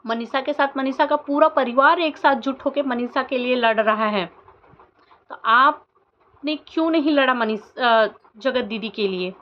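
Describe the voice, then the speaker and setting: A young woman vlogger giving earnest, emotional commentary to camera, firing off rhetorical questions with many fillers. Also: a little high. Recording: dull lo-fi sound with faint background noise.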